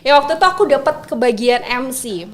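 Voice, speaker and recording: A young adult woman chatting casually as an interview guest, expressive and quick to laugh. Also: high voice. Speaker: podcast guest, MC and public speaking trainer.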